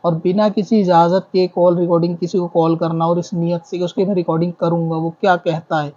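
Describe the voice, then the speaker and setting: A man's voice: high-pitched, little pitch movement, fairly quick, warm tone. A man explaining a religious ruling as a scholar, reasoning step by step and repeating his points in a didactic way. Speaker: Islamic scholar answering questions. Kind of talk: explanatory religious ruling in question-and-answer form. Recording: dull lo-fi audio.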